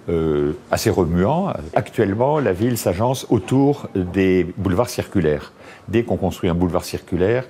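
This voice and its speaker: Male panel guest, deepest voice, warm tone, plain explanations. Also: a little hiss or hum. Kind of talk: studio panel discussion.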